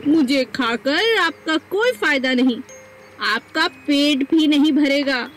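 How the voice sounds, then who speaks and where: A woman voicing a sly female fox for an animated story, flattering and wheedling in a persuasive, treacherous way. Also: high voice, slow pace, a little hiss or hum, voice pushed up, full character voice. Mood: coaxing, scheming. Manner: wheedling, persuasive, repeats pleas.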